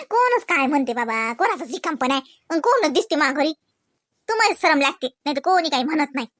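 A woman performs a married village daughter in a comedy cartoon, with a very high voice. She rambles on in homely, colloquial speech with a Varhadi Marathi accent. The sound is close and dry. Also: big pitch swings, a little fast, no echo. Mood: chatty, easygoing. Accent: Varhadi Marathi, Vidarbha. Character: chatty married village daughter visiting her family. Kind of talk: rural comedy cartoon dialogue.

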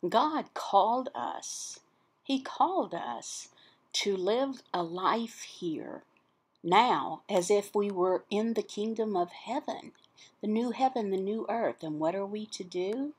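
A woman voicing an interpreted sermon as explanatory teaching, in short, halting phrases with pauses between them. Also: medium pitch, lively intonation, slightly rough.

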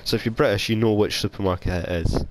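Deep-voiced Scottish man with a cold. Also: fairly even intonation, fast, background noise. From Scotland. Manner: rambling, self-deprecating, chatty. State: has a cold. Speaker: gaming YouTuber. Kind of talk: casual gameplay commentary. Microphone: console headset mic.

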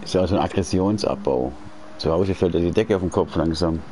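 A very deep-voiced man in his late forties talks loosely and at length, using slang and restarting his sentences. He is streaming from home, and loud race-car engine sounds from the game run under his voice. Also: fairly quick. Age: 40s. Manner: relaxed, rambling, talkative with slang and restarts. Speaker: sim racing streamer. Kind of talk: casual chat while gaming. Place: indoors at home. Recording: racing game engine noise behind.

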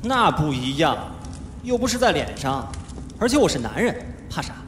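An actor playing a playful, cheeky young wuxia cultivator, chattering and teasing in live stage audio-drama style. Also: male voice, a little high, big pitch swings, normal speed, fairly bright tone, a little hiss or hum. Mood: light-hearted, boastful. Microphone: handheld microphone. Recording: live stage, echoey hall, music behind.

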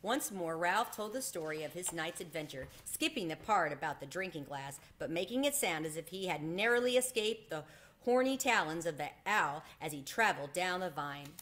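Woman reading a kids story out loud, lowish voice, narrating. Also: expressive, moderate pace. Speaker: storybook reader. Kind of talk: reading a children's book aloud. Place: home room with bookshelves, indoors. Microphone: webcam at desk distance.

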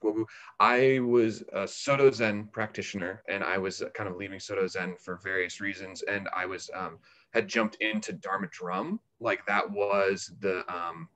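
A middle-aged man with a very deep voice speaks on a video call. He gives reflective interview answers and often halts to search for the next word. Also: a bit bright. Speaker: interviewed volunteer, meditation practitioner. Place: indoor room on a video call. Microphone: video call microphone.

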